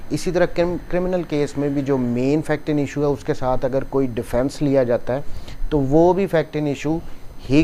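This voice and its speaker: Slow-paced Pakistani man teaching law. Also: medium pitch, dark tone, a little hiss or hum. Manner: mixes English legal terms and slowly read passages into explanation. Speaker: law lecturer. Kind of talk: explanatory law lecture, reading statute clauses and explaining them.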